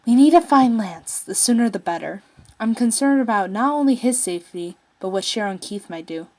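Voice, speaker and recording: A woman's voice: fairly high pitch, expressive, a little fast, darkish tone. A woman voicing an earnest, worried princess in a story, speaking with urgency and some formality.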